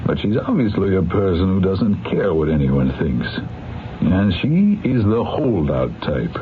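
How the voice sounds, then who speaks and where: A man's voice: low-pitched, sing-song, measured pace. A man playing a shrewd, candid defense attorney in a radio mystery drama, with a wry, conversational manner. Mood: explaining, knowing. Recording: tinny, noisy old radio recording.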